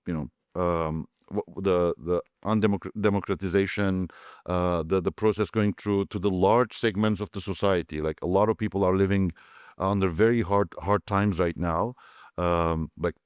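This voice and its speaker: Turkish guy on a panel, super deep, keeps restarting, lots of uh and like. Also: smooth, no echo, studio quality. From Turkey. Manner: halting, many fillers and restarts. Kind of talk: panel discussion answer.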